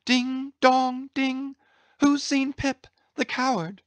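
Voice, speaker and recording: A woman's voice: sits high, level tone, takes it slowly, no room sound. Woman playing a crazed, singsong young cabin boy. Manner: singsong, wandering, archaic. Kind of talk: dramatised classic sea novel reading.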